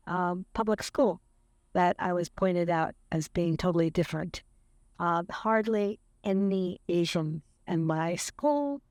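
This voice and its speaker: A woman's voice: mid-range voice, a little mellow, no echo, clean studio sound. A woman in her seventies from California, earnestly recalling her upbringing in an interview, with many fillers. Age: 70s. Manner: slow, earnest, with fillers and light laughs. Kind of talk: reflective interview.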